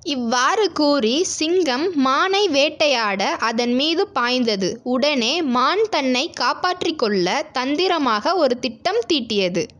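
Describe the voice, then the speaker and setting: High-voiced woman telling a bedtime story. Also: lively intonation, takes it slowly, hardly any pauses, a bit bright. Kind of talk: scripted children's bedtime story narration.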